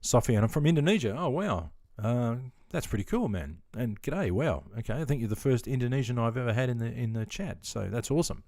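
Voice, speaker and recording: A man's voice: mid-range voice, lively intonation, fairly quick, hardly any pauses, warm tone, no echo, clean studio sound. A middle-aged Australian man chatting casually on a live stream, easygoing and full of ums, answering viewers and calling them mate. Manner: easygoing and chatty, filler-heavy, keeps calling people mate.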